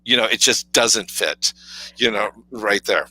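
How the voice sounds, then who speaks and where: A man co-hosting a podcast with a deep, authoritative voice, talking casually and acting out stories. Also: unhurried, bright, forceful tone, dry recording, low-quality recording. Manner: storytelling, acts out quoted voices, many fillers. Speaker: podcast co-host. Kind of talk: casual conversational coaching talk.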